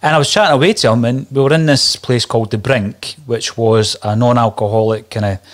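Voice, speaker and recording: A man's voice: mid-range voice, fairly quick. Casting: male podcast host, friendly, anecdote-driven questions on a video call. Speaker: show host. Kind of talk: casual video call interview. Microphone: desk mic on video call.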